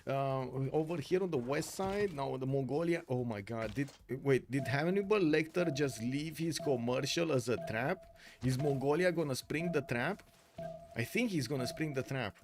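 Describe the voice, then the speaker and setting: A man's voice: medium pitch, moderate pace, soft background music. A man casting a strategy game tournament live, chatting casually with viewers and breaking the play-by-play with lots of fillers. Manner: casual, filler-heavy, talks to viewers by name. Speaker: tournament caster and streamer. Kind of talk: live game commentary while reading chat.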